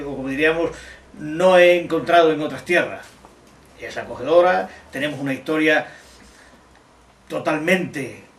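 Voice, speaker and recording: Halting mature man, roomy distant recording. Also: on the high side, a bit bright. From Extremadura, Spain. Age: middle-aged or older. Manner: deliberate, halting, rephrasing as they go. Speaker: interviewee, technology teacher and amateur poet. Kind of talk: informal interview answers. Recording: roomy, distant mic, faint noise.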